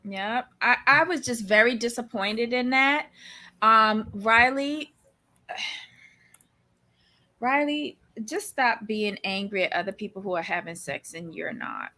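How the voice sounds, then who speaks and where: A woman's voice: on the high side, lively intonation, slow, sharp tone. A woman with a Barbadian accent, co-hosting a casual recap show and giving blunt, opinionated takes. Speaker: podcast co-host.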